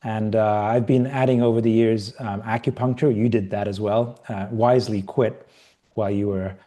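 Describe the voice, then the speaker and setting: A middle-aged man from Britain who grew up partly in Asia, talking casually as an interview guest in a reflective, halting way. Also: fairly low pitch, steady tone, mellow. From Britain, raised partly in Asia. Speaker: podcast guest, yoga and qigong teacher trainer.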